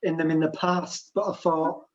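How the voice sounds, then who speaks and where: A man doing improvised comedy, talking in a calm, hesitant way full of fillers. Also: a little high, normal speed. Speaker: improv performer. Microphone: video call. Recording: dull lo-fi video call audio.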